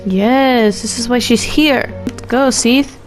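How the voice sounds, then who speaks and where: A woman's voice: on the high side, slow, faint music behind. A woman streamer giving casual, slangy commentary on a game, playfully suspicious and talking back to the characters. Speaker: streamer playing and reacting to a game. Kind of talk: casual live gameplay commentary.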